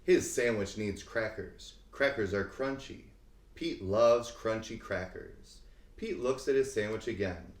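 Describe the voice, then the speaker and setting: A man reading a children's picture book aloud expressively, with deliberate phrasing and dramatic emphasis. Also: on the low side. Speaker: read-aloud host. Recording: roomy, echoing sound.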